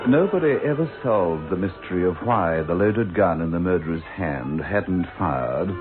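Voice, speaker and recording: A man's voice: sits low, expressive, normal speed. A man narrating a scripted radio ghost story as an ominous storyteller. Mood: ominous, solemn. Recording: tinny old radio recording. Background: music.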